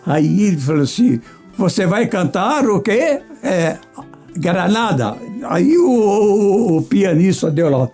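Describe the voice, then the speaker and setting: Old brazilian guy from minas telling stories, warm voice, swoops around a lot, faint music behind. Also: high voice, very animated, slow pace. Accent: Brazilian, from Minas Gerais. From rural Minas Gerais, Brazil. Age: older. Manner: acts out dialogue and sounds. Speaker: veteran radio singer and actor. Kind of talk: animated reminiscing, storytelling.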